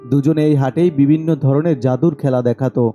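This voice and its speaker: Dark, mellow male story narrator. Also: mid-range voice, steady tone, a little fast. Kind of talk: scripted horror story narration. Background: background music.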